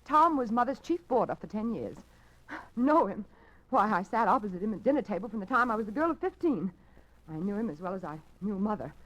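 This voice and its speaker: A woman's voice: medium pitch, big pitch swings, a little fast. A frightened, stammering young woman. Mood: fearful. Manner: anxious and breathless, stammers. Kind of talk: 1940s radio acting. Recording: dull lo-fi 1940s radio broadcast.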